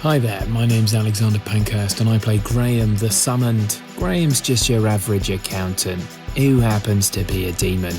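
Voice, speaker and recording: Lowish-voiced man introducing over music. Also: moderate pace. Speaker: cast member introducing a character. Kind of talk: scripted introduction.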